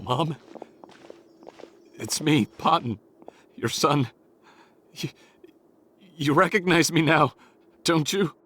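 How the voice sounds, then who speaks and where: A man's voice: on the high side, slow, long pauses, bright, forceful tone, slight background noise. An actor playing a desperate young man in a game, stammering and sobbing as he pleads with his dying mother. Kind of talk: game cutscene voice acting.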